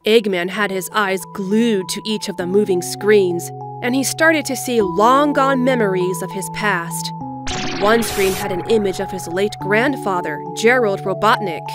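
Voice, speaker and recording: A woman's voice: medium pitch, unhurried. Woman narrating a story over music. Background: background music.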